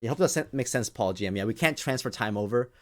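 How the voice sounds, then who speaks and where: A man's voice: mid-range voice, expressive, fast. A man hosting a livestream with casual live commentary, speaking in repetitive, stop-and-start phrases and often addressing his listeners directly. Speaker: livestream host.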